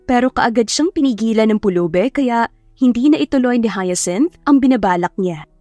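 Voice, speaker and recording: A woman's voice: on the high side, lively intonation, normal speed, dark tone, dry recording. A woman narrator reading a scripted story as an audiobook. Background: background music.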